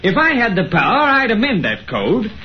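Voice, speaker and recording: A man's voice: sits high, wildly swooping pitch, moderate pace. A man playing an earnest, principled young lawyer in an old radio drama, polite and formal in diction. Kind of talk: 1930s radio acting. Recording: muffled old radio recording.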